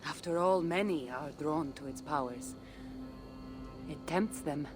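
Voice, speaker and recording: A woman's voice: low-pitched, unhurried, over music. An actress playing a seasoned female artifact hunter in a video game, wry and teasing yet solemn about her duty. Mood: earnest, measured. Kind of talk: video game character dialogue.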